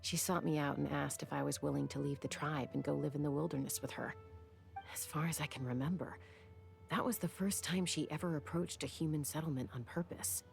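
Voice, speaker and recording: A guarded young woman from a video game, speaks in a low register with a gravelly fry. She is measured and wistful, reflective as she tells her story, with soft music underneath. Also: steady tone. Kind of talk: video game dialogue acting.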